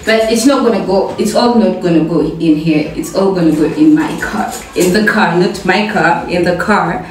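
A woman's voice: lowish voice, normal speed, slight background noise. A young woman vlogging casually as she gets ready, chatty and playful, often repeating words for fun. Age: young adult. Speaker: vlogger and home baker. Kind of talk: casual get-ready-with-me vlog chat. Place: bathroom with tiled walls and glass shower. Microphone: camera set back across the room. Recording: echoey bathroom, heard from a distance, soft music.

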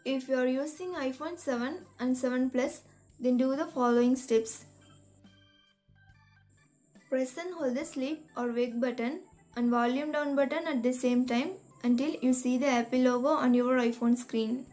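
A woman reading a scripted step-by-step tech tutorial in a measured, instructional manner. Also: high voice, steady tone, a little mellow. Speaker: tutorial narrator. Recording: voice-over with music behind. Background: background music.

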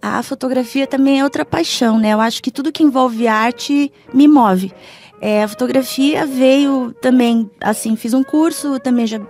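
A woman's voice: high voice, moderate pace, darkish tone. A middle-aged woman with a Brazilian accent chatting informally as a radio interview guest, often adding tag questions. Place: small foam-padded radio studio. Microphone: studio microphone. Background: faint music.

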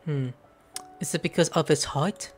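A young man doing casual game commentary on a close desk mic, reacting and thinking out loud. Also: a little high, fairly even intonation, normal speed. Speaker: gameplay streamer. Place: small room at home. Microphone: close desk microphone. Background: game music.

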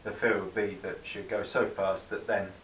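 A man's voice: fairly low pitch, level tone, moderate pace, fairly bright tone, echoey room, distant mic, slight background noise. A man in his thirties with the flu, casually explaining his boat build while working in a cold garage workshop. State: has the flu.

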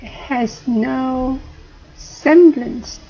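Middle-aged woman, british colonial accent, slightly high and gravelly, talks slow into a dull lo-fi webcam mic at home. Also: dark tone. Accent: British colonial English. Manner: slow, deliberate, rephrases herself often. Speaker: vlogger. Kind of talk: casual talk to camera. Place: home room, indoors. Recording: dull lo-fi webcam audio, faint hiss.

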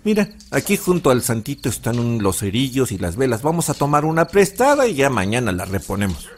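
An actor playing a gentle old Mexican village grandfather who soothingly tells ghost legends in an audio drama. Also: medium pitch, sing-song, a little fast, a little hiss or hum, natural voice. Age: older.

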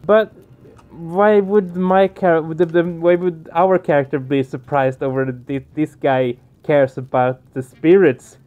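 A man's voice: fairly high pitch, steady tone, slow pace, phone-quality sound. A man hosting a let's play, reading game narration aloud and adding casual commentary. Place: small home room, indoors. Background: game music.

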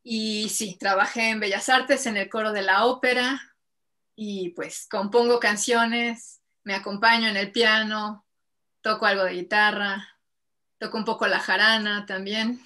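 A woman's voice: medium pitch, fairly even intonation, measured pace, pushed and bright. A Mexican woman in her thirties, a singer-songwriter answering interview questions casually over a video call from home, with frequent fillers and laughs. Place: home room with bookshelves and string lights. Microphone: video call webcam.

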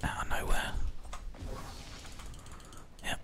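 A gaming host speaks entirely in a soft, breathy whisper, very close to a binaural microphone. The delivery is ASMR-style play-by-play of a video game, with keyboard and mouse clicks behind it. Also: drawn-out words. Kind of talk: ASMR whispered gameplay commentary.

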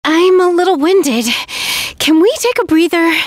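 A woman's voice: very high-pitched, unhurried, darkish tone, no room sound, studio quality. A woman voicing a polite, earnest young princess warrior in an anime game. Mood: mild, weary.

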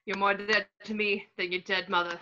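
A woman playing a gruff, disapproving coal-miner father in an amateur script read over a video call. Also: medium pitch, level tone, fairly quick, bright, forceful tone, natural voice. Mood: bitter, scornful. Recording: slightly dull video-call audio.

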